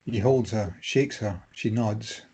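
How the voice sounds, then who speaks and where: A man narrating screenplay stage directions in measured, clipped phrases. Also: fairly low pitch, darkish tone, slight background noise, rough recording.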